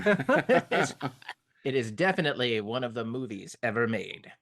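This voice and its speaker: A man's voice: medium pitch, sing-song, a little slow, gravelly, fairly bright tone. A man co-hosting a podcast, chatting casually and jokingly with a wry, playful manner. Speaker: podcast co-host. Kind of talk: casual joking fan chat.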